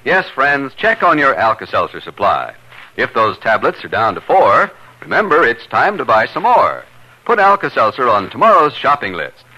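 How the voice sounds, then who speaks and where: A man's voice: mid-range voice, wildly swooping pitch, moderate pace, pushed and bright, dull audio. A man announcing in a polished, declamatory 1950s radio style, reading scripted narration and sponsor messages.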